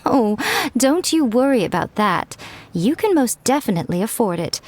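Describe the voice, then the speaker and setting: A woman's voice: on the high side, big pitch swings, normal speed, a little mellow. A woman voicing a teasing, self-important fox shrine maiden in an anime fantasy game, airy and self-satisfied. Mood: sly, teasing.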